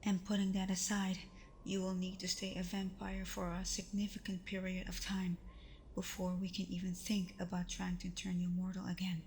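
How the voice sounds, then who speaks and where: Woman doing a soft breathy vampire gf asmr roleplay, caring n a bit hesitant, pretty flat melody, faint cricket noise in back. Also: on the low side, moderate pace, natural voice. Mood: grave, gentle. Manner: soft, breathy, hesitant, reassuring, often dropping toward a whisper. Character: tender, protective young female vampire girlfriend, secretive and self-doubting. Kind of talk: intimate ASMR girlfriend roleplay.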